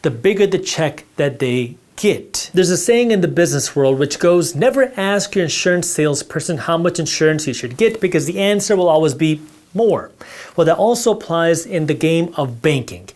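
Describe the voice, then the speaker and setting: A man's voice: a little high, lively intonation, normal speed, darkish tone. A man whose first language is Punjabi, teaching personal finance in a direct, emphatic way while drawing on a board and speaking straight to the viewer.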